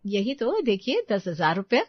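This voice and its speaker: A woman playing a thrifty, practical young daughter-in-law who talks briskly and defensively in a family story. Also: mid-range voice, sing-song, dry recording, lo-fi sound. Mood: proud.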